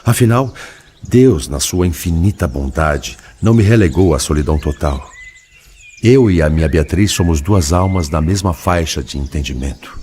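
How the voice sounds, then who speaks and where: Male spirit played by a man, low-pitched, sorrowful and resigned, measured dramatic audiobook delivery over background noise. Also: lively intonation, a little mellow. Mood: tender sadness. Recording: clearly noisy background.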